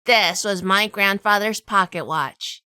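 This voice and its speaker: A woman's voice: mid-range voice, slow pace, bright, forceful tone, clear, resonant tone, dry recording, studio quality. A woman playing a shrewd, probing heroine who narrates and talks in a noir audio drama. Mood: reflective, pained.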